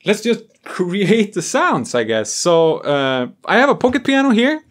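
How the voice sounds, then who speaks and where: Animated, high-pitched man chatting casually. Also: measured pace. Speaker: music gear video creator, host. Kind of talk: casual demo vlog. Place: small home studio room full of instruments. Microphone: desk microphone on a boom arm. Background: synth music.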